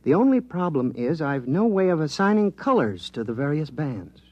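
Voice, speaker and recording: A man's voice: a little high, very animated, normal speed, no echo, muffled recording. An actor playing an earnest male radio engineer in a 1950s radio drama, explaining his decoding of star signals at length. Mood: excited.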